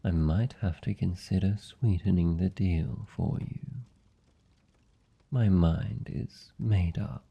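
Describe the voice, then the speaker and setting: A man's voice: low-pitched, vocal fry, mellow, slightly rough. A British man playing a suave, seductive vampire lord in a fantasy ASMR roleplay, speaking formally and teasingly with commanding, predatory politeness. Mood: pleased, intrigued. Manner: teasing, formal, silky and deliberate. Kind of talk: fantasy ASMR roleplay, slow intimate monologue.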